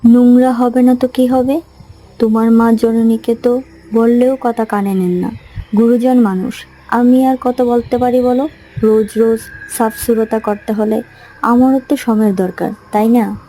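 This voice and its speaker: Woman acting a high-voiced, scheming young daughter-in-law. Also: fairly even intonation, a little slow, mellow, lightly acted, rough recording. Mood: defensive, irritated. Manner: complaining, self-justifying. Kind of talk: animated folk tale voice acting. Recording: music behind the voice.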